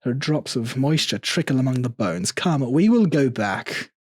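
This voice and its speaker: A scheming aristocrat with a husky voice and measured, formal speech acted by a male narrator. He is falsely courteous and solicitous, hiding cold vengeance in a gothic horror tale. The sound is dry and close. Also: medium pitch, lively intonation, darkish tone, no room sound. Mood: feigned concern. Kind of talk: gothic horror audio storytelling.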